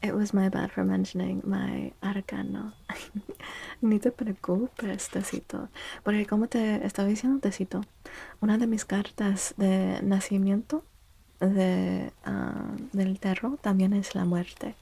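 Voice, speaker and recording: A woman livestream host speaking softly in an ASMR style, hushed and gentle, often slipping into a near-whisper close to the microphone. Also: mid-range voice, little pitch movement, measured pace. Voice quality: soft, breathy. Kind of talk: soft-spoken ASMR livestream chat.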